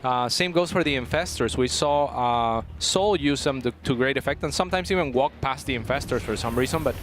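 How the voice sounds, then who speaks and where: A man's voice: medium pitch, a little fast, a bit bright, a little hiss or hum. A man in his thirties giving casual esports commentary, often repeating words as he goes. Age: 30s. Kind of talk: casual chat, esports analysis.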